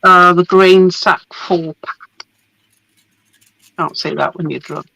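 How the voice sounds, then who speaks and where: A woman's voice: on the low side, steady tone, slow, creaky, pushed and bright, no room sound, low-quality recording. A woman getting a cold, chatting casually as she shows off craft papers, laughing often and trailing off with ums. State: getting a cold, losing her voice. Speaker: host showing craft papers. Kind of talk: casual product show-and-tell.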